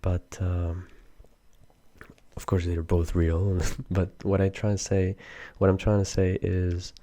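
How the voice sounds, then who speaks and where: A young man from Peru with a Peruvian Spanish accent, speaking English softly as an interview guest, hesitant with many fillers. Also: sits low, monotone, takes it slowly. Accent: Peruvian Spanish, mixed with Mexican and Argentinian. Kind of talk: soft-spoken casual interview.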